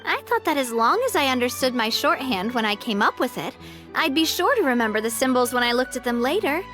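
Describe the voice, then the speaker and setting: Young female leader, high voice, lively melodic range, earnest, breezy, friendly, video game character, music behind. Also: a little fast. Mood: sheepish.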